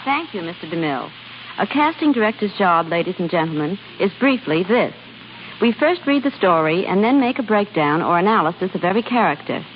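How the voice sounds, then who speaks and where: A woman's voice: mid-range voice, animated, fairly quick. A woman giving a scripted, formal and instructive talk as a guest on 1930s radio. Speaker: guest casting director. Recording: dull, noisy 1930s radio broadcast.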